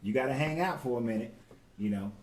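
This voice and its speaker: A man's voice: mid-range voice, fast, a little mellow. A man in his forties co-hosting a casual live talk with his wife, laid-back and slangy, greeting viewers by name. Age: 40s. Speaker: co-host. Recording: echoey room with faint background noise.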